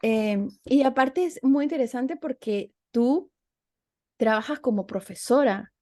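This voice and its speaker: A woman's voice: a little high, unhurried, a little mellow. A woman hosting a casual interview, talking reflectively and often restarting her phrases. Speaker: host, interviewer.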